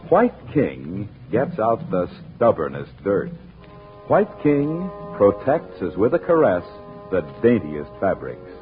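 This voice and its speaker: A man's voice: lowish voice, wildly swooping pitch, takes it slowly. A man announcing in 1940s radio style, with a polished salesman's delivery and dramatic emphasis. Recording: tinny 1940s radio, music behind.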